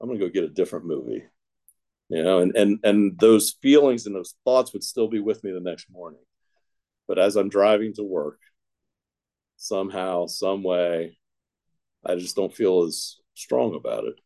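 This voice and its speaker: Rambling, self-mocking man with a lowish voice. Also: normal speed, warm tone. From Ohio, United States. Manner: rambling, self-deprecating, many fillers. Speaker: speaker sharing a recovery story. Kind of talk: casual personal storytelling.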